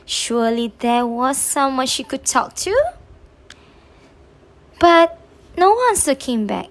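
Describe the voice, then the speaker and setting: Woman, children's read-aloud narrator. High voice, very animated swings, drawn-out phrasing. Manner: expressive, drawn-out delivery for young children. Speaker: storybook reader on a children's channel.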